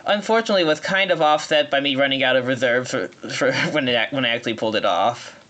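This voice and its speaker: A man giving live speedrun commentary, explaining as he plays in a halting way, often rephrasing mid-sentence. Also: medium pitch, bright, forceful tone. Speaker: speedrunner playing at a marathon.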